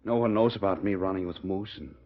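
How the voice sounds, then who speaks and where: Casting: troubled ex-bandit gone straight, low husky voice, halting and earnest, old radio drama. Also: male voice. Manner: hesitant, earnest, folksy. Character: anxious reformed outlaw turned humble bank guard. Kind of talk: 1940s radio western acting. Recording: dull, muffled old 1940s radio recording.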